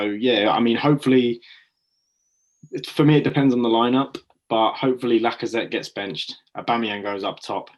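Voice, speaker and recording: A man giving football predictions in a casual chat, measured with frequent ums. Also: lowish voice, rough recording. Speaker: guest pundit.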